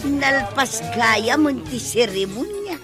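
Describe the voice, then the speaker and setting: Argumentative village woman, radio comedy, really high voice, drawn-out delivery, music under it. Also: sing-song, heavily acted. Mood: nonchalant. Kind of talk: Ilocano radio comedy drama acting. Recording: music behind the voice.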